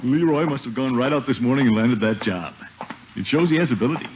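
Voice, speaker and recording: An actor playing a pompous, boastful middle-aged uncle, jovial and blustery, in a 1950s radio comedy. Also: male voice, medium pitch, fast talker, natural voice. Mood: self-satisfied. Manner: pompous, swooping, self-satisfied. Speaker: lead comic character. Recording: muffled, noisy 1950 radio broadcast.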